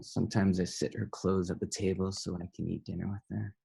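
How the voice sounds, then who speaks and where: A man's voice: deep voice, level tone, brisk, creaky, dark tone, husky, full character voice. A man acting a sardonic, heartbroken young guy in a table read, in a blunt, teasing, conversational way. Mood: rueful.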